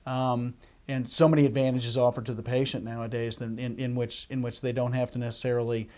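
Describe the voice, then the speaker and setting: Male host in middle age, average pitch, conversational questioner who feels his way haltingly through long questions. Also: flat delivery, darkish tone. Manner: halting, recapping, circling into questions. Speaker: interview host, doctor.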